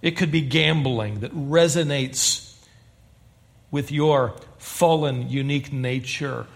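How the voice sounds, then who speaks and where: Emphatic high-voiced male preacher. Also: a bit bright. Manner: earnest, emphatic preaching with rising intensity. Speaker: pastor. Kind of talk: sermon.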